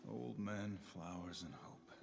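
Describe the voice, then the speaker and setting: A man's voice: fairly low pitch, slow, gravelly. An actor playing a proud, stubborn, brooding swordsman with terse speech in a fantasy game cinematic. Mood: weary, doubtful. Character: weary, defiant young swordsman, proud and stubborn. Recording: music behind the voice.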